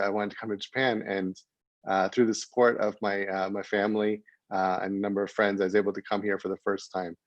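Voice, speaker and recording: Low, flat-voiced man on a video call. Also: dry recording. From Canada. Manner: hesitant, many fillers and restarts. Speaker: panel speaker. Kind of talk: online panel talk. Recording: dull lo-fi video call audio.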